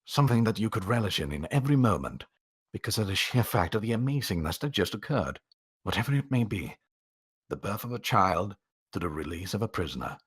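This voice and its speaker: Low-voiced man narrating with dramatic swings. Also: very animated, slightly rough. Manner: theatrical, with drawn-out emphasis and dramatic pauses. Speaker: amateur narrator reading a story aloud. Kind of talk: dramatic scripted storytelling narration.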